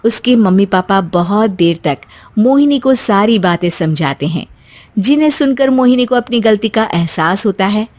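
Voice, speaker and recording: A woman's voice: mid-range voice, animated, normal speed, a little mellow. A woman reading a scripted story as its narrator.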